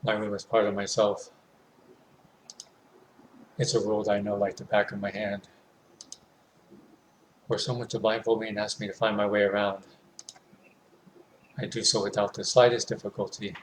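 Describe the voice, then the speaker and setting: A man with a lowish voice narrates a horror visual novel in the first person. His intonation is very flat, hushed and measured, with pauses between phrases. Faint noise sits behind the home recording. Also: poor audio.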